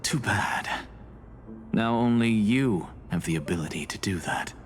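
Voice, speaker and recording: A man's voice: lowish voice, animated, measured pace, a little hiss or hum. A man voicing a harsh, proud scholar in a video game, speaking with dry, theatrical scorn. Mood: dry, resolute. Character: harsh, proud male scholar, sardonic teacher.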